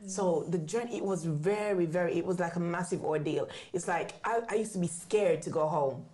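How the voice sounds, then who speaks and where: A woman's voice: fairly low pitch, moderate pace, dark tone. A woman from the UK, raised in Jamaica, speaking candidly as an interview guest in a conversational storytelling style. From born in the UK, raised in Jamaica. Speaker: interview guest, author and book writing mentor.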